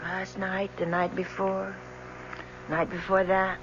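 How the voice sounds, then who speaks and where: A woman's voice: medium pitch, little pitch movement, takes it slowly, natural voice. An actress playing a listless, lovelorn young woman, a sleepless professor's daughter in a radio drama. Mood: listless, weary. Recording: muffled old radio recording, faint noise.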